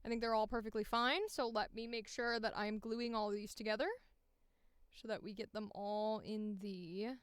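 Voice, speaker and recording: A woman's voice: mid-range voice, little pitch movement, normal speed, clean tone, no room sound, studio quality. A woman streamer and voice actor chatting casually as she works, half to herself, playful and free with swearing. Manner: talks to self, swears freely, playful. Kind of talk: casual livestream chat while editing audition takes.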